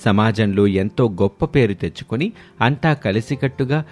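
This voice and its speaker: A man's voice: on the low side, expressive, normal speed, a little mellow, soft background music. A man narrating a scripted moral story in the manner of a storyteller.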